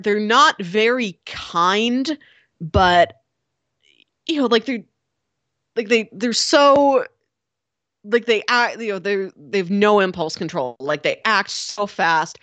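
A woman's voice: mid-range voice, expressive, slow pace, a bit bright, dry recording. A woman co-hosting a podcast, talking through a film casually and conversationally, with many filler likes. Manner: conversational, peppered with "like". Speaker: podcast co-host.

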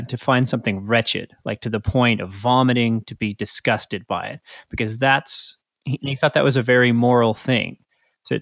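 A man co-hosting a podcast in casual conversation, talking in a halting way and often correcting himself. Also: medium pitch, fairly bright tone, dry recording, clean studio sound. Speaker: podcast co-host. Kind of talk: casual chat.

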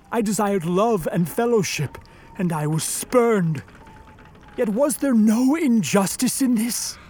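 A created monster in a radio play, acted by a man, full of sorrow and remorse. The voice is high for a male, and the speech is formal and eloquent as it pleads solemnly. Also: dark tone, exaggerated voice. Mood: anguished.

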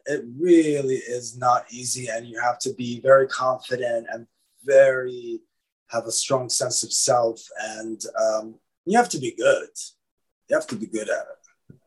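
A man from Lebanon, an actor guest, talking in a casual, animated way, often repeating his words. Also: mid-range voice, low-quality recording. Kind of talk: casual interview chat.

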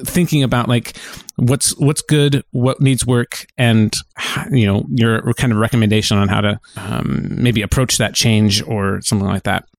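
A man co-hosting a podcast in casual discussion, talking in a halting, reflective way and circling back on his phrases. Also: fairly low pitch, fairly even intonation, creaky, no room sound. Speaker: podcast co-host.

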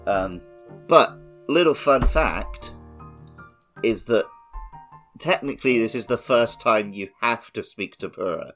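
Man, lowish voice, casual podcast co-host, conversational, faint background music. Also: animated, takes it slowly, pauses often, fairly bright tone. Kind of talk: casual chat, gaming podcast.

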